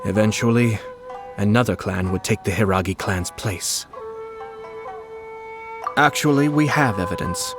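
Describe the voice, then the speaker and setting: A composed young nobleman from a video game, played by a man, speaks in a fairly low voice. He is formal and courteous, a shrewd strategist who lays out his thoughts deliberately. Music plays behind him. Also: lightly acted. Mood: calm, analytical. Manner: formal, measured, polite, deliberate. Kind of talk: scripted video game dialogue.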